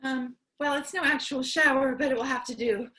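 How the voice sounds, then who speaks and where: A woman playing a lonely, chatty, excitable apocalypse survivor in a theatrical comic staged reading. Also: high-pitched, a little fast. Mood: eager, musing. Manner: theatrical, chatty, swings between outbursts and cheer.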